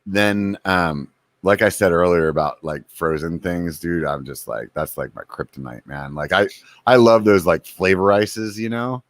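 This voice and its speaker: A man's voice: low-pitched, measured pace, no room sound, clean studio sound. A man in his forties, hosting a casual podcast chat, joking and acting out his own food cravings. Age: 40s. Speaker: podcast host.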